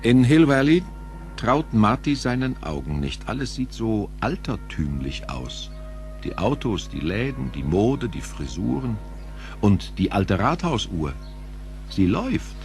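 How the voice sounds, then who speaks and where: A man's voice: lowish voice, very animated, measured pace, darkish tone, soft background music. A man narrating an audio drama in a dramatic, scripted style.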